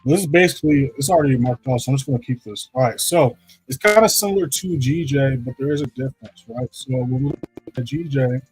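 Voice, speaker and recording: A man with a deep voice hosting a live trading stream, talking casually through charts and often checking in with "right?". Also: fast talker, a little mellow, slightly rough, soft background music, poor audio. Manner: loose, repeats "right?" to check in with viewers. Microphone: streaming setup microphone.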